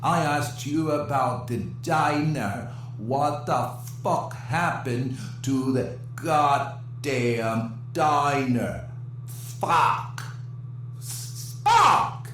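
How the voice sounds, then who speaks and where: Slow, menacing, echo-heavy male agent. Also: medium pitch, fairly bright tone. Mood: angry, exasperated. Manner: intense, profane, drawn-out delivery. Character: tired, hot-tempered, foul-mouthed male interrogating agent. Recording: echoey interrogation room, faint music.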